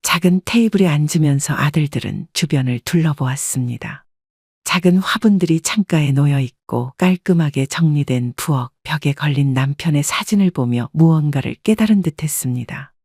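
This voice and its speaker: A woman narrating a scripted first-person story in a calm, reflective way. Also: sits low, moderate pace, husky, no echo, studio quality.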